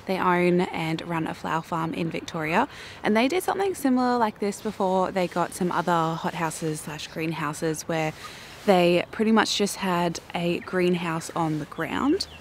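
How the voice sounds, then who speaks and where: Young Australian woman, croaky low voice, chatty vlogger, outdoors with faint noise. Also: lively intonation, normal speed. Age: young adult. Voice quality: croaky morning voice. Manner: chatty, self-mocking, giggly. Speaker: flower farming vlogger. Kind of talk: casual vlog talk to camera. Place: backyard garden outdoors, cold morning.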